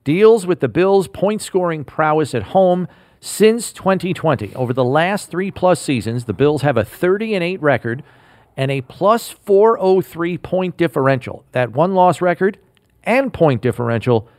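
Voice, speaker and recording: A man hosting a sports podcast, running a trivia quiz and making picks in an upbeat, animated way. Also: on the high side, normal speed, no echo. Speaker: host.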